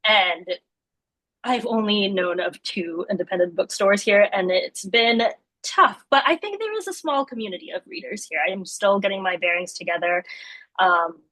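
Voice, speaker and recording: A woman's voice: mid-range voice, moderate pace, sharp tone, dry recording, poor audio. A young adult woman from Singapore, a guest in a casual interview, talking thoughtfully and laughing often.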